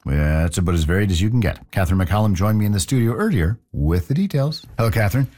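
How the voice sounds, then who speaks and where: A man hosting a radio show from the studio, relaxed and friendly with some hesitations. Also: fairly low pitch, quick pace. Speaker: radio host. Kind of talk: radio interview.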